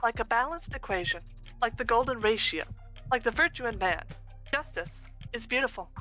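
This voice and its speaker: A woman voicing a stately female machine judge, speaking in a formal, measured courtroom manner. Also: fairly high pitch, lively intonation, bright, forceful tone, soft background music. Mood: solemn. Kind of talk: voice acting a game live on stream.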